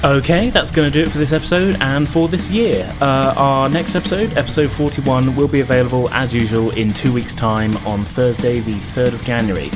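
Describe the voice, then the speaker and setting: A man's voice: mid-range voice, fairly quick, few pauses, music in the background. Man hosting a tabletop game podcast. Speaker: game master and podcast host. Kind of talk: casual tabletop game talk.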